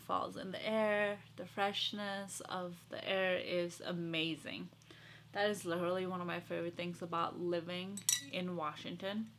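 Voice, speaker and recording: A young woman chatting casually to her viewers in an excitable, gushing, giggly way. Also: fairly low pitch, a little hiss or hum. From Sri Lanka and Los Angeles. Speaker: vlogger.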